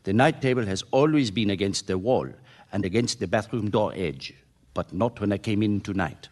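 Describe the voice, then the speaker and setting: A man's voice: on the low side, moderate pace, a little mellow, natural voice. An actor playing a precise, earnest Frenchman in a radio mystery, with a French accent and careful, hesitant English. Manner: formal, careful wording, hesitant searching for English words. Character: tidy, precise Frenchman, former soldier, earnest and puzzled. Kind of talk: radio mystery drama acting.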